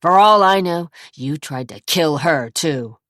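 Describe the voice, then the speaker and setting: An injured younger brother who shouts and accuses in a rage, as a woman acts him out in an audiobook. The voice is low and slow. The recording is close and dry. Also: animated, no room sound. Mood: furious.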